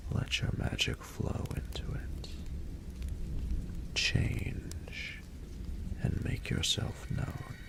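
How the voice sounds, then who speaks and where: A man playing a regal, doting dragon ruler in a romantic audio roleplay, speaking formally in a gravelly, breathy murmur. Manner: formal, unhurried, eloquent, courtly. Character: regal male dragon ruler, doting, patient, courtly mentor.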